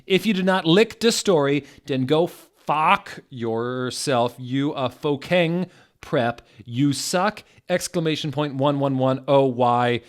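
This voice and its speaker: A man playing an indignant, touchy teenage fan-fiction author, ranting loudly and petulantly with drawn-out words. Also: medium pitch, voice pushed up, lightly acted. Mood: furious.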